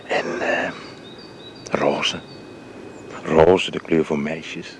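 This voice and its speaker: A man playing a tender young painter in love, speaking softly and often in a whisper in a radio drama. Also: extremely low pitch, little pitch movement, very slow, slight background noise, full character voice. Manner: soft, intimate, often whispering. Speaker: suitor Jaap.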